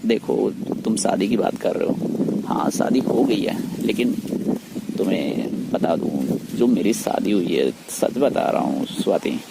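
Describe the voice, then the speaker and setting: A man speaking in a private phone conversation, pleading and persuading in a halting, repetitive way. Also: sits low, expressive, vocal fry. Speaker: teacher. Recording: noisy phone call recording.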